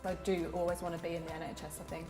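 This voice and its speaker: A young woman from Birmingham speaks in a lowish voice, conversational and easy as she answers interview questions as a graduate trainee. The echoey room carries clear background music. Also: flat delivery, racing. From Birmingham, England. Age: young adult. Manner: conversational, using "like" as a filler. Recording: roomy sound with music behind.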